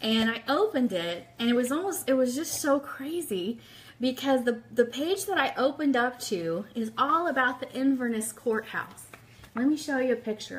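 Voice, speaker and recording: A woman's voice: sits high, fairly bright tone, low-quality recording. Chatty, enthusiastic woman hosting a livestream. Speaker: radio show host. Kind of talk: casual livestream chat with book read aloud.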